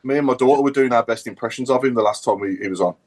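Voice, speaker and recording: A man's voice: medium pitch, very fast, a little mellow. A man chatting casually as a football podcast panellist, bantering and swearing lightly. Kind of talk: casual group chat.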